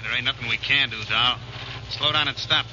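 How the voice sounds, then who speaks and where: An actor playing a sullen, terse gangster with tough slang in a 1940s radio drama. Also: male voice, mid-range voice, fairly even intonation, brisk, close to an everyday voice. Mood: resigned. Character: sullen male gangster in a robbery gang. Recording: noisy muffled old radio broadcast.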